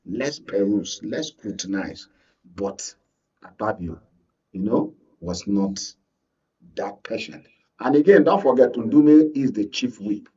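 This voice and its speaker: A Nigerian man giving unscripted political commentary as a guest analyst, explaining in a halting way. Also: fairly low pitch, expressive, mellow, husky, recorded from a distance, dull audio.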